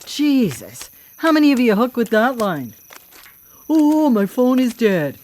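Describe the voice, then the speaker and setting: A woman's voice: fairly high pitch, sing-song, unhurried, darkish tone, a little hiss or hum. An actress in a live radio drama playing a vengeful female vigilante killer, mocking, cocky and coolly menacing. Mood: menacing, gloating, then mocking, probing. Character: vengeful, taunting female vigilante killer who hunts predators.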